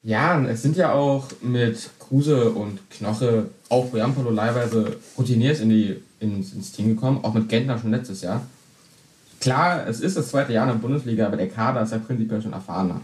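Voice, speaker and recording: A man born in Berlin, a guest on a football podcast, giving brisk, factual analysis in short clipped sentences. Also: mid-range voice, darkish tone, reverberant. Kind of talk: casual football podcast chat.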